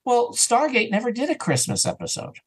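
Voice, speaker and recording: A man chatting casually and enthusiastically as an interview guest, rambling and animated, sometimes acting out bits of dialogue. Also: very high-pitched, brisk, husky. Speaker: interview guest, audio drama writer and actor.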